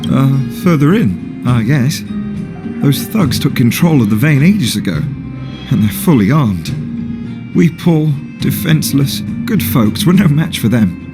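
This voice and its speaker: An actor playing a timid, shaken miner in a video game, speaking in a hesitant, halting way. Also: male voice, mid-range voice, sing-song. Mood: aggrieved, shaken. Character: shaken, timid male miner rescued from thugs. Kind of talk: animated game cutscene acting. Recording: music behind.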